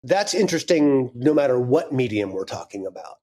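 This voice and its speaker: A man's voice: mid-range voice, sing-song, brisk, dark tone, breathy edge. A man in his fifties, a guest speaking frankly and candidly in a casual interview, swearing now and then. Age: 50s. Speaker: guest, audio drama creator.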